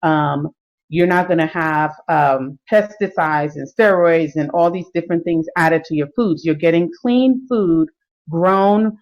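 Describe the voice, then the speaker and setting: Lady fitness coach talking thru a live stream, lowish voice, lots of ums. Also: measured pace, muffled recording. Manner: many fillers and restarts, relaxed explaining.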